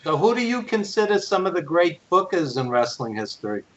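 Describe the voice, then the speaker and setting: Mature male co-host, slightly high voice, earnest emphatic chat, lo-fi dull sound. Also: big pitch swings, brisk, fairly bright tone. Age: 50s or older. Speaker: show co-host. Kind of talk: casual interview chat.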